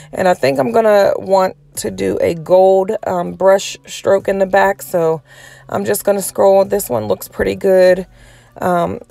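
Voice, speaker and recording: A woman giving a casual step-by-step tutorial, sounding a little raspy and hoarse from a cold. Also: mid-range voice, mellow. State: getting over a cold, slightly hoarse. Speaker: tutorial host.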